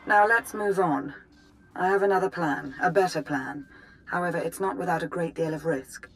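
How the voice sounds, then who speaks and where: An actress playing a stern, calculating Sith minister in a video game, speaking with clipped authority. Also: female voice, lowish voice, moderate pace, a little hiss or hum, lightly acted, low-quality recording. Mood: calculating, commanding. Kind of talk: video game cinematic acting.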